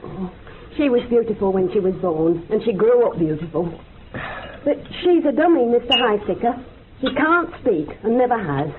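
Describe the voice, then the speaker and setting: A woman's voice: on the high side, expressive, normal speed, natural voice. An actress playing a possessive, chatty mother in a radio drama, rambling on insistently and matter-of-factly. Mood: proud, matter-of-fact. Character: chatty, possessive mother showing off her mute daughter. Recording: noisy, phone-like old radio recording.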